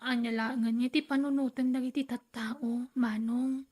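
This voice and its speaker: Actress as a high-voiced, hesitant young woman. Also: steady tone, a little mellow, slightly rough. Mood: shy, hesitant. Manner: hesitant, halting. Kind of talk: Ilocano radio drama acting.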